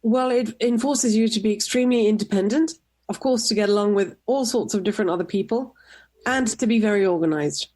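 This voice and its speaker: A middle-aged woman from Tunisia answers interview questions on a talk radio show. Her voice sits in the middle range, and she speaks in short, measured, composed replies. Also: medium pitch, dark tone. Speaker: guest, nonprofit executive director.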